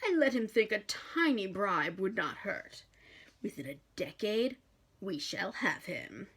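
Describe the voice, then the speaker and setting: A woman's voice: mid-range voice, sing-song, measured pace, fairly bright tone, breathy edge, exaggerated voice. A woman voicing a sinister male demon henchman, blunt and boastful in manner.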